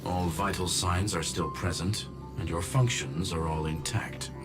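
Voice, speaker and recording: A man voicing a courteous robot gentleman scholar in a video game, speaking formally and precisely. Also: low voice, steady tone, measured pace. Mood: calm, precise. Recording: loud music under the voice.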